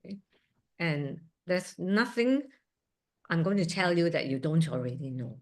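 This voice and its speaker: A woman's voice: fairly low pitch, animated, a little slow, a little mellow. A woman in her sixties from Singapore, a surgeon giving an earnest talk at a museum event with chatty asides. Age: 60s. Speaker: guest speaker, surgeon. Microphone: video call.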